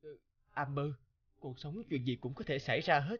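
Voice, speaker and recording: A man voicing a torn, apologetic young man in an animated melodrama, hesitant and earnest. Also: mid-range voice, normal speed, dull audio, lightly acted.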